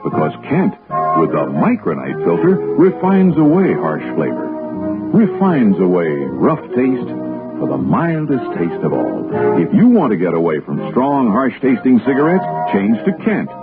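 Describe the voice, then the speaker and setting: A man's voice: medium pitch, wildly swooping pitch, normal speed, phone-quality sound. A man working as a commercial announcer, reading a scripted 1960s radio advertisement with emphatic phrasing. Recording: thin vintage radio recording, music behind.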